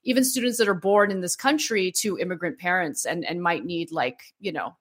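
A woman's voice: on the low side, a little fast, husky. A woman from Los Angeles with Armenian as her first language hosts a casual conversation. She speaks reflectively and hesitantly, with frequent fillers. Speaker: host. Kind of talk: casual chat.

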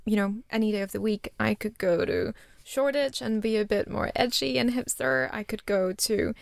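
A woman's voice: mid-range voice, animated, moderate pace, vocal fry, no echo. A young woman from Singapore, chatting as an interview guest with lots of fillers and restarts. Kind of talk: casual interview chat.

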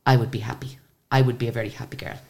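Irish female singer, guest; very deep, low voice; animated, chatty storyteller. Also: rapid-fire, creaky. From Ireland. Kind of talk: casual interview storytelling.

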